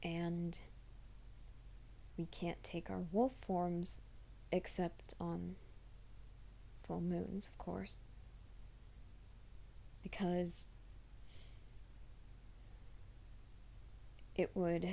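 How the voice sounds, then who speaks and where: Shy young werewolf guy played by a woman: soft half-whisper, slow, flat, mellow, stammering. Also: fairly low pitch, vocal fry, full character voice. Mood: nervous confession. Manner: hesitant, stammering, self-conscious. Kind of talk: ASMR roleplay.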